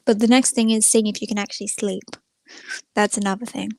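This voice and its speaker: A woman's voice: medium pitch, steady tone, a little fast, vocal fry, a little mellow, dry recording. A young woman chatting casually to viewers on a livestream in a rambling, informal, self-effacing way. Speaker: live streamer.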